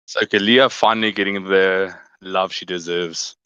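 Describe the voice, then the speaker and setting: Man, co-caster, low pitch, forceful tone, muffled lo-fi audio. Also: fairly even intonation, unhurried, clear, resonant tone, no room sound. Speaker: esports co-caster. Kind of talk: casual esports commentary.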